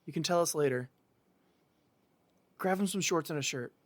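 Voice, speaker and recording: A young man acting in an audio drama as a concerned, calming friend. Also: a little high, moderate pace, no echo, natural voice.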